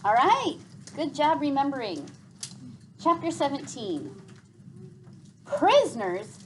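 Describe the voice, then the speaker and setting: A woman teacher reading a story aloud to pupils in an expressive, clearly enunciated way. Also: a little high, unhurried, a little hiss or hum.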